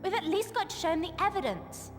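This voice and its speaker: A woman's voice: very high-pitched, big pitch swings, fast talker. An actress playing an earnest, bossy schoolgirl witch in a video game, decisive. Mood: brisk, concerned. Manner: decisive, matter-of-fact. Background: music.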